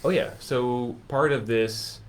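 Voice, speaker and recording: A man hosting a casual chat, enthusiastically pitching a course and piling up lists and vivid comparisons. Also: slow, a little hiss or hum. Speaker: music teacher and course host.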